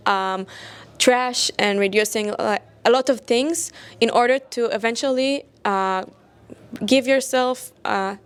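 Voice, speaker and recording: Young woman, slightly high clear voice, lots of "like" and "uh". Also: slow pace. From Israel. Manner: many fillers, "like" and restarts. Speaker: design graduate student, hackathon winner. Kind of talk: interview answers.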